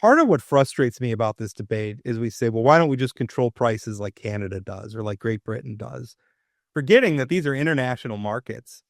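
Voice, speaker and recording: A man's voice: medium pitch, lively intonation, brisk, creaky, no room sound, studio quality. A man hosting a talk show, chatting opinionatedly and restarting his sentences often. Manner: opinionated, frequent restarts and stammered repeats. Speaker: radio talk show host. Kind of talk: casual political chat.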